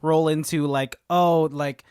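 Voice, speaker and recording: A man's voice: fairly high pitch, takes it slowly, smooth, no echo, studio quality. A man co-hosting a podcast, talking animatedly in casual chat with many fillers. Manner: animated, filler-heavy, 'like'-laden. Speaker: podcast co-host. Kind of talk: casual movie review chat.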